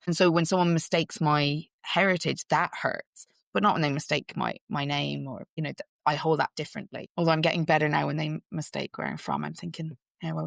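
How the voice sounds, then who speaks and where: Female interview guest, Irish-London mixed accent, gravelly, reflective, dry close recording. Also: low voice, dry recording. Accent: Irish-English mix, heard as English in Ireland. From Ireland, raised partly in London. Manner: thoughtful, many restarts and fillers.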